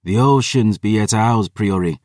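A man voicing a vampire woman officer who urges a hurried retreat, in an audiobook. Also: lowish voice, darkish tone, no echo, clean studio sound, close to an everyday voice. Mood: urgent.

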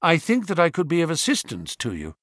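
A shrewd detective speaks in a bland, measured, quietly assured way, as a male reader puts him on. His pitch still moves a lot. The sound is dry and close. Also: wildly swooping pitch, dry recording, exaggerated voice.

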